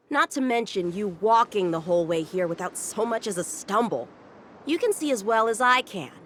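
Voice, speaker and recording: A woman's voice: medium pitch, fairly bright tone, no echo, close to an everyday voice. An actress voicing an earnest, cocky young boy swordsman in a video game, speaking formally and confidently. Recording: music behind the voice.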